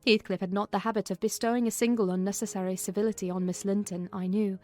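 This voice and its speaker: Woman narrator, medium pitch, expressive classic novel reading, faint background music. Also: fast.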